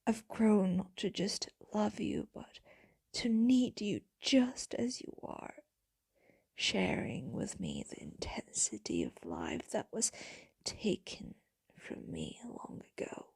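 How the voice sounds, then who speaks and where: An ageless female vampire, acted by a woman, murmurs tenderly to a beloved in an ASMR roleplay. The voice is mostly whispered and very breathy, drifting slowly with melancholy devotion. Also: mid-range voice, huge pitch swings, darkish tone, dry recording, heavily acted. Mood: devoted, melancholy. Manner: slow, intimate, tender, breathy delivery.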